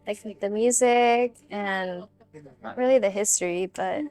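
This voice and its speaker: A woman's voice: mid-range voice, a little slow, darkish tone, faint music behind. A young woman giving blunt, slangy answers in a documentary interview.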